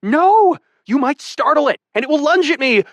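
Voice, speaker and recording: A man's voice: expressive, normal speed, no echo. A man voicing a panicked young woman who shrieks and scolds frantically. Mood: frantic scolding. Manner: shrill, frantic, yelling.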